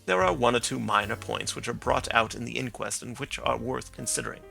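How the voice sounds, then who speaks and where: A man reading aloud as a sharp, confident middle-aged detective who lectures with precise, assured reasoning. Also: medium pitch, fairly even intonation, brisk, creaky, soft background music.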